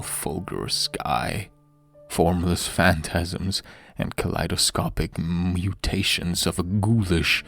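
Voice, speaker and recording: Male horror narrator, slow measured pace, creaky low register, grave theatrical storytelling, soft music underneath. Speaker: audiobook narrator. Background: background music.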